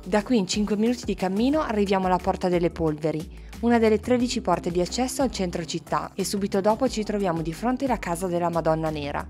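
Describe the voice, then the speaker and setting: A woman's voice: mid-range voice, fast talker, few pauses, darkish tone. A woman narrating a travel vlog in a scripted style. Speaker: travel vlogger. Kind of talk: scripted travel narration with short casual asides. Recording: music under the voice. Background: background music.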